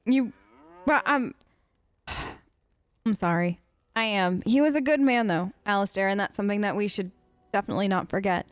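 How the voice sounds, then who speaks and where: A woman's voice: medium pitch, moderate pace, long pauses, clear, resonant tone, no echo. A woman doing casual let's play role-play, answering game characters in an informal, hesitant, playful way.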